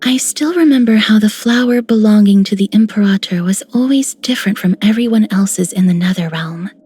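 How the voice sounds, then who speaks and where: A woman voicing a gentle, dreamy young maiden in a video game with a soft, breathy, poetic delivery. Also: medium pitch, a little fast, natural voice. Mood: wistful. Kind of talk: scripted game character lines.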